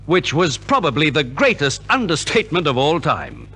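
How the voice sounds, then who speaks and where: A man's voice: on the high side, huge pitch swings, moderate pace, sharp tone, breathy edge, soft background music. A man narrates a scripted 1950s radio programme in a polished, theatrical storytelling manner, on a vintage transcription recording. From Australia.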